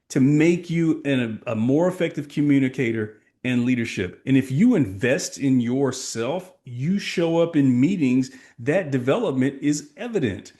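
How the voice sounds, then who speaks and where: A man's voice: on the high side, darkish tone. A middle-aged man from Texas, a sales coach giving emphatic, motivational answers in a podcast interview. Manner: emphatic, motivational, asks rhetorical questions.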